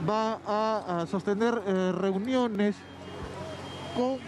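Male street reporter over loud traffic. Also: sits high, extremely slow. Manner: hesitant with fillers, handing over to a colleague. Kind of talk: live news commentary.

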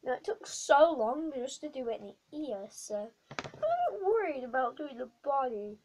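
A young girl with a bad cold and a croaky voice, chatting through a craft tutorial vlog in a small bedroom, rambling with many ums. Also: high voice, very animated, moderate pace, darkish tone, a little hiss or hum. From not American. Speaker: craft vlogger. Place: small dim bedroom indoors.

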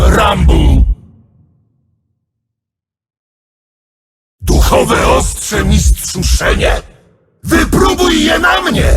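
Roaring demonic blade warrior. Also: male voice, extremely high pitch, heavily acted. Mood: taunting. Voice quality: shouting, taunting, theatrical. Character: bloodthirsty ancient male blade demon warrior. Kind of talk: video game character voice lines.

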